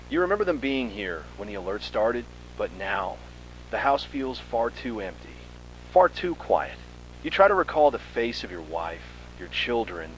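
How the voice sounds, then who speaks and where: A man's voice: fairly low pitch, a little hiss or hum, poor audio. A man reading a scripted second-person horror story in a dramatic, suspenseful manner.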